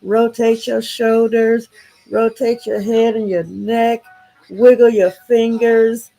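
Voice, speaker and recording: A woman's voice: fairly high pitch, flat delivery, slow pace, creaky, warm tone, faint music behind, rough recording. A woman with a stuffy nose hosting a morning motivation live stream over a choppy internet connection, calling listeners to wake up. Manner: repeats rousing wake-up calls. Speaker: morning show host. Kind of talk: upbeat live-stream pep talk. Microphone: live-stream over internet with a poor connection.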